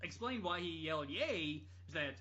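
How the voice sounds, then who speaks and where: Animated, bright-voiced man telling casual anecdotes. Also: fairly high pitch, normal speed. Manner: animated, rambling, jokey. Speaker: podcast storyteller. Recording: dull lo-fi podcast audio.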